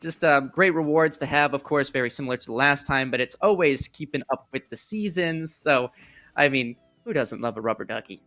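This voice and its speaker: A man's voice: medium pitch, a little fast, sharp tone, faint music behind. A man hosting an esports broadcast in casual, rambling live desk chat, jokey, self-deprecating and enthusiastic. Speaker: esports broadcast host and caster.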